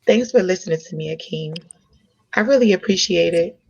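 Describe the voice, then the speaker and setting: A woman's voice: lowish voice, a little fast, gravelly, a little mellow, breathy edge, no echo. A woman playing a frank, independent young woman who speaks candidly and conversationally in a comedy table read. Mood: grateful. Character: frank, independent young American woman, restaurant owner's daughter. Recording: dull lo-fi microphone sound.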